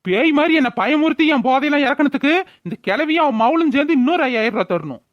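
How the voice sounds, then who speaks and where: A woman's voice: high voice, animated, quick pace, dry recording, lo-fi sound. A woman voicing a brazen, drunken village man in a cartoon, argumentative and blustering, with a rural Tamil Nadu accent. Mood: brazen, demanding. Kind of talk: cartoon village drama voice-over.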